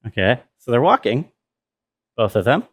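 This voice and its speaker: A man walks through sound design in an informal tutorial style. His voice sits in a middle register. He speaks haltingly, piecing his explanation together as he goes. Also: medium pitch, sing-song, very noisy. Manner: halting, thinking aloud. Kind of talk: casual tutorial walkthrough.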